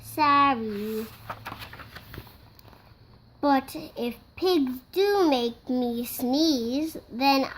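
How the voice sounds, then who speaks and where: A five-year-old child reading a picture book aloud in a halting, word-by-word way, acting out the parts. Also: sits high, a bit bright, rough recording. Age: child, five years old. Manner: slow, halting word-by-word reading with acted-out sneezes.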